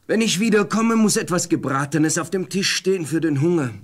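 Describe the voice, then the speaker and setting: Firm, demanding farmer husband. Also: male voice, a little high, animated, a little fast. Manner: firm, admonishing. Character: demanding young farmer husband, plain-spoken and reproachful. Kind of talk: fairy-tale radio play acting.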